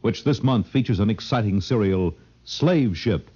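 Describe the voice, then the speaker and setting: A man's voice: fairly low pitch, lively intonation, normal speed, dark tone, slightly rough, muffled recording. A man announcing formally and emphatically in scripted 1950s network radio style, on an old broadcast recording.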